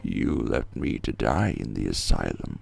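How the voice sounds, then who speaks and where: A man playing a vengeful, merciless villain with a slow, menacing manner in a game fan dub. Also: very deep voice, sing-song, creaky, over music, full character voice.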